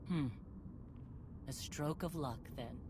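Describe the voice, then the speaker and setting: A woman's voice: sits low, slow pace, background noise. A woman playing a proud, tough warrior leader in a video game cutscene, speaking in a commanding, terse and resolute manner. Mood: grim resolve. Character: proud, tough female Mandalorian warrior leader, wounded but defiant.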